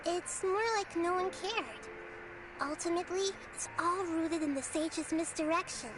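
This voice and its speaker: A woman voicing a gentle, wise young girl deity in a video game, speaking in measured, formal explanations with some weariness. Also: very high-pitched, music in the background. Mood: calm, grave. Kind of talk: scripted video game dialogue.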